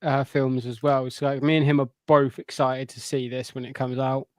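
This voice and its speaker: A man chatting casually about films as a podcast co-host, halting and often rephrasing as he goes. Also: mid-range voice, flat delivery, no echo, studio quality. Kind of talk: casual film chat.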